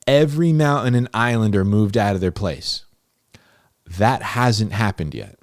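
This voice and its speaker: Low-voiced man teaching informally, emphatic. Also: animated, measured pace, no echo, clean studio sound. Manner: conversational and emphatic, repeats key phrases for stress. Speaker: host of a Bible-explainer series, teacher. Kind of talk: informal, unscripted Bible teaching.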